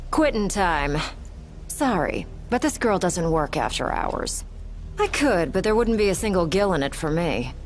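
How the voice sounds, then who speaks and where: An actress playing a cocky, flirtatious mercenary fighter in a video game, teasing and nonchalant. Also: female voice, fairly low pitch, sing-song, a little slow. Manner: playful, taunting, nonchalant. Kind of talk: dramatic video game voice acting. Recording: loud game music over voice.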